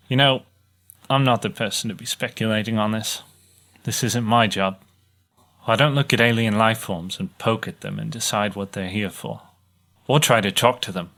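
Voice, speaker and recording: A man's voice: on the low side, a bit bright. A young man acting as a bitter, overworked student technician in a sci-fi audio drama, griping sarcastically through a monologue. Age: young adult. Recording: music behind the voice.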